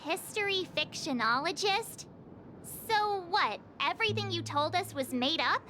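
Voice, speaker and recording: A woman's voice: very high voice, animated, unhurried, pushed and bright, a little hiss or hum, exaggerated voice. An actress playing a perky, blunt teenage girl adventurer in a video game, exclamatory and dramatic. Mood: indignant. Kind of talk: animated video game voice acting.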